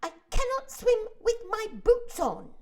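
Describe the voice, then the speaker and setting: A woman voicing a loud, boisterous male centipede with a shrill, excited delivery. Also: very slow, exaggerated voice.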